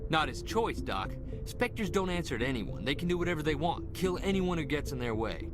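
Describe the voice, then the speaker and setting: A man acting an eager, restless young marine corporal in a video game, excitable and earnest. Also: on the high side, a little fast. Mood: wary. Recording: loud music behind the voice. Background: music.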